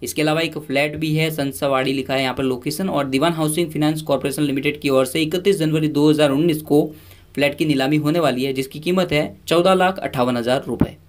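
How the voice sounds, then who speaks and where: A man's voice: a little high, fairly even intonation, quick pace. A male presenter giving an informal news voice-over, talking conversationally with many fillers and restarts. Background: background music.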